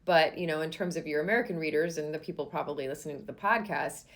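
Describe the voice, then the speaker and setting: Gushing podcast host, low-voiced woman. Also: fast talker. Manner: enthusiastic, gushing, many fillers. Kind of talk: casual interview chat.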